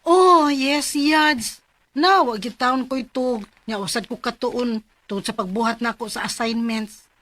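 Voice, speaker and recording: An actress playing a sleepy, stubborn young college student in a radio drama, drawling and whiny. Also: female voice, a little high, a little slow, fairly bright tone, no room sound. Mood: friendly, tired.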